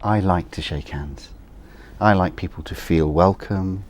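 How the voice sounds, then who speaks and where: A middle-aged man, answering interview questions for a course recording in a measured, thoughtful way. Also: low-pitched, a little hiss or hum. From England. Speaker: interviewee, business owner.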